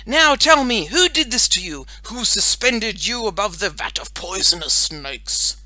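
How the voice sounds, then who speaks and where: A woman performs a young boy as a pompous storybook superhero. He is high and loud, proclaiming every line with grand, urgent drama. The sound is bright and forceful. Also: big pitch swings, a little slow, no room sound, full character voice. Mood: urgent, heroic. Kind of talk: children's audiobook character voice.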